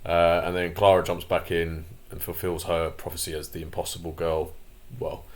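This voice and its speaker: Croaky low-voiced man rambling. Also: monotone, moderate pace. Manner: rambling, many fillers and restarts; opinionated, grumbling asides. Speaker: channel host. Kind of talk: casual commentary vlog.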